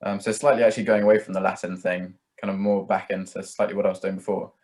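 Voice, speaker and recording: A man's voice: deep voice, flat delivery, fast talker, darkish tone. A young man chatting casually as an interview guest, a musician who rambles and hedges with plenty of filler words. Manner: rambling, hedging, peppers talk with "like" and "kind of". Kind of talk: casual chat.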